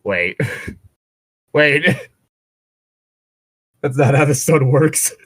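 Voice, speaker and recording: A man's voice: medium pitch, expressive, extremely slow, no room sound, studio quality. A man reading a story aloud as a co-host, slipping into casual, sarcastic, mock-exasperated asides. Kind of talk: read-aloud narration with casual joking commentary.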